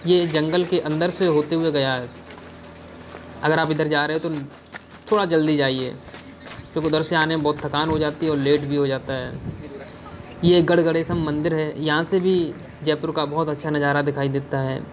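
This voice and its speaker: A man's voice: on the high side, steady tone, moderate pace, noisy background. Young man narrating a hillside trip. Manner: chatty, addresses viewers, gives safety advice. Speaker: travel vlogger. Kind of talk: narrated travel vlog. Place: outdoors on a hill road.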